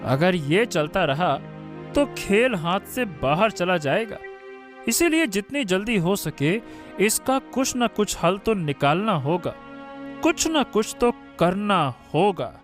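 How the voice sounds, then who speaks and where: A woman voicing a mocking, sarcastic young sister-in-law who plots against the new bride in an animated story. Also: mid-range voice, takes it slowly, soft background music. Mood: plotting.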